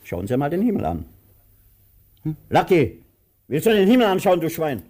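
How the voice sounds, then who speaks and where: Pompous older master, wildly swooping delivery. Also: male voice, a little high, huge pitch swings, normal speed, a little mellow, breathy edge, full character voice. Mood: pompous declaiming. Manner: grandiloquent, theatrical, mercurial. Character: pompous older master, cruel, theatrical, self-pitying. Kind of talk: German radio play acting.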